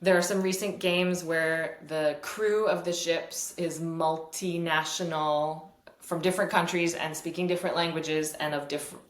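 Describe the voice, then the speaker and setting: A woman with a low, fairly deep voice answers questions in a relaxed, easygoing way and laughs often. She speaks over a video call, and the room around her sounds echoey. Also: a little slow. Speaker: fiddle teacher and musician. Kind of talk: casual interview answers.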